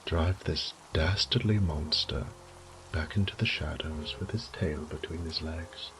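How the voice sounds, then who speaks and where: A man playing a sadistic, condescending vampire lord in a roleplay audio drama, theatrical and taunting, with breathy whispered phrases. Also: very deep voice, a little slow, fairly bright tone, slight background noise, rough recording. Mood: mocking, menacing.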